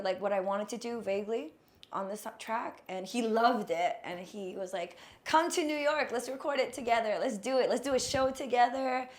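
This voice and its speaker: A woman from Japan, a singer, chatting cheerfully as a podcast guest with a slightly raspy voice. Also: mid-range voice. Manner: cheerful, mixes in Japanese words. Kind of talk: casual interview chat.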